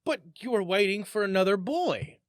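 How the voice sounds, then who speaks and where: Man doing a shrill, wry little old man. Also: expressive, moderate pace, no echo, clean studio sound. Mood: dry, modest. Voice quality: shrill, whistling through front teeth. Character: thin, shabby old man, shrewd but kindly. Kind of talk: storybook reading.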